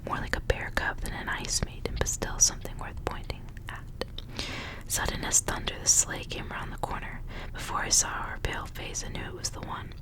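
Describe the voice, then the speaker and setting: A woman reading a story aloud as ASMR, in a soft, breathy whisper. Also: moderate pace, rough recording. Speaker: ASMR reader and channel host.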